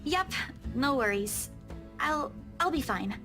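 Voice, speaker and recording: A woman's voice: sits high, expressive, slow pace, music in the background, heavily acted. An actress playing a caring, playfully proud young woman in a visual novel, with a chirpy, reassuring way of talking. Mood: subdued, reassuring.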